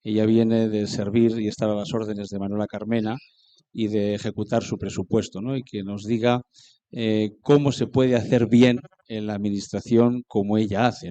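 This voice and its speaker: A man moderating a panel, introducing the guests in a steady, matter-of-fact way and tagging his points with quick questions. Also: on the low side, dry recording, lo-fi sound.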